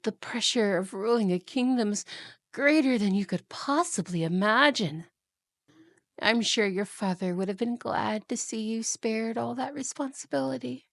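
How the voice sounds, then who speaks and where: A woman's voice: on the high side, a little slow, creaky, no room sound, studio quality. A woman playing a haughty, cruel evil queen and wicked stepmother, imperious, scolding and condescending, in a fantasy audio roleplay. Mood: condescending, falsely gentle. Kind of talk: fantasy ASMR roleplay acting.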